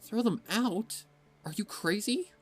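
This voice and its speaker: A man voicing a worried, innocent little filly with a high-pitched, squeaky voice in a dramatic fan fiction reading. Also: animated, slow, over loud music. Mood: shocked.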